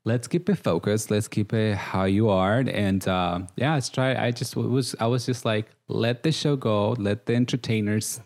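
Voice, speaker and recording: A man's voice: lowish voice, moderate pace, few pauses, darkish tone. A man from Guatemala with a Guatemalan Spanish accent, a reality show contestant talking earnestly and emotionally in a casual interview, laughing often.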